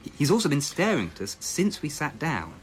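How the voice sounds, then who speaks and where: A man's voice: a little high, huge pitch swings, fairly quick. A man playing an earnest young police constable with an English accent, pedantic, hesitant and deferential, in a comic radio drama.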